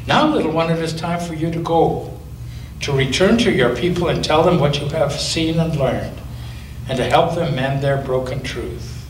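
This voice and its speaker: A man voicing a wise, gentle ancient female turtle, speaking slowly and softly, recorded at a distance in a roomy space with faint hiss. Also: a little high, lightly acted.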